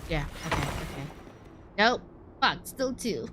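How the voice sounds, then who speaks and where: A woman's voice: low-pitched, lively intonation, a little slow, background noise. A woman streaming a video game, reacting casually in short muttered asides with some swearing. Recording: game music behind the voice. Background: game music.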